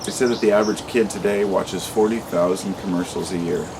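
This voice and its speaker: A man in his late thirties speaks in a deep, low voice, rambling and impassioned as he vents in an unscripted vlog. He swears freely and often restarts his sentences. Steady traffic noise runs clearly behind him. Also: brisk. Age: 30s. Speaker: vlogger. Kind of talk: unscripted monologue to camera.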